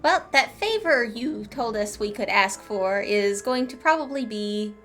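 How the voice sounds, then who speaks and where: A woman's voice: mid-range voice, lively intonation, measured pace, fairly bright tone. A woman giving casual, enthusiastic, gushing commentary as she plays through a game. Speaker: game streamer. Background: game music.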